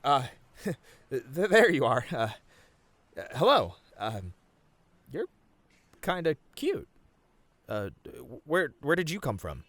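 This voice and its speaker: A man's voice: medium pitch, sing-song, slow pace, pauses often, fairly bright tone, no room sound, voice pushed up, exaggerated voice. A man voicing a friendly, curious, awkward rabbit who runs a cafe, in an audio roleplay, often stammering. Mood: startled, awkward. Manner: hesitant, stammering when startled. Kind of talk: character acting in an audio roleplay.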